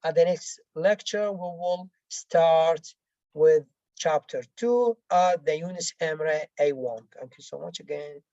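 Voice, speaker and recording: Slow-paced woman teacher, non-native English. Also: deep voice, warm tone, dry recording, lo-fi sound. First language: not English. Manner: halting, repetitive, explaining step by step. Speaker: language teacher. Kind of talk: bilingual lesson, reading out exercises and explaining answers.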